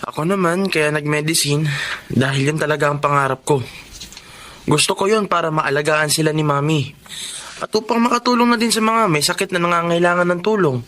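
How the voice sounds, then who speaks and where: Bright-voiced young Filipino son. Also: male voice, fairly high pitch, fairly quick. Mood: disappointed. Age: young adult. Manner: earnest. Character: idealistic, respectful young doctor son. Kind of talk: Filipino radio drama acting.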